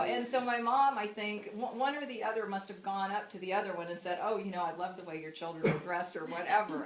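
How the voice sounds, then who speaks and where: A woman's voice: lowish voice, echoey room, low-quality recording. A woman in her fifties from the United States giving a memorial speech about her mother, telling stories with laughs and fillers. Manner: warm storytelling with frequent laughs and fillers. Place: memorial gathering room.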